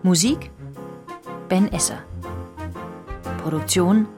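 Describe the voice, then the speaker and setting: Slow woman reading credits over music. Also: lowish voice. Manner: plain, unhurried announcing. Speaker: credits announcer.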